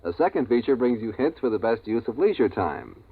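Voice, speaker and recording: A man's voice: medium pitch, sing-song, fairly quick, husky. A man reading a scripted promo as a 1950s network radio announcer, with a polished, formal delivery. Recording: tinny narrow old radio recording.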